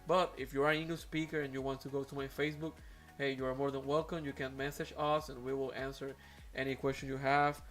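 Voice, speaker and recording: A man's voice: medium pitch, flat delivery, fast, few pauses, a bit bright, faint music behind. A man whose first language is Spanish, speaking English with a Spanish accent as host of an aquarium live stream, talking casually with many fillers and restarts.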